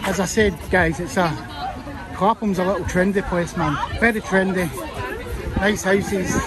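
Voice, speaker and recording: Scottish male vlogger, highish voice, sore throat, rambling street commentary over crowd and traffic. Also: fairly even intonation, unhurried. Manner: chatty, rambling asides to viewers. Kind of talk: casual walking commentary. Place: busy city street outdoors. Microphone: handheld phone camera.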